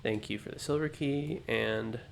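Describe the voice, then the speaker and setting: A man hosting a let's play, thinking aloud in an unhurried, musing way, his voice low and groggy from just waking up. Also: gravelly, slight background noise. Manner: musing, unhurried, self-talking. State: low morning voice, just woken up. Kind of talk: thinking-aloud gameplay commentary.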